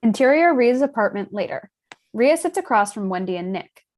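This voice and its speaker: A woman's voice: on the high side, lively intonation, moderate pace, darkish tone. A woman narrator reading scripted stage directions in a plain narrating style.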